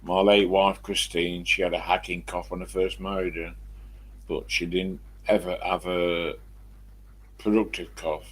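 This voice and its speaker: Elderly male live streamer, English accent, low gravel-edged smoker's croak, loose chatty rambling delivery. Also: little pitch movement, measured pace. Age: 70s or older. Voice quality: croaky, husky smoker's voice with a cough. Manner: chatty, mild swearing, answers his own questions. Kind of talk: rambling casual chat to live viewers.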